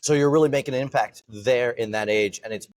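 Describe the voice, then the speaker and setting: A man's voice: mid-range voice, brisk, no room sound. A man interviewing a martial arts instructor, chatting casually and rambling a bit as he asks questions. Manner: casual, rambling with fillers and restarts. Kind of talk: interview conversation.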